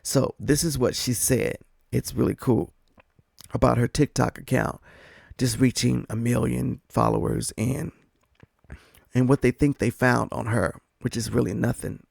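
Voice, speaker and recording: A man's voice: mid-range voice, fairly even intonation, measured pace, creaky, darkish tone, no room sound, clean studio sound. A man hosting a political commentary podcast, talking in an emphatic, earnest way. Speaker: podcast host.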